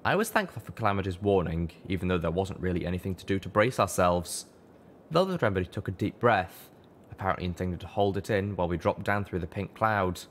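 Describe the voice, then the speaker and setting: Male narrator, low pitch, scripted first-person storytelling, audiobook reading. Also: lively intonation, fast, slight background noise. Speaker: audiobook reader.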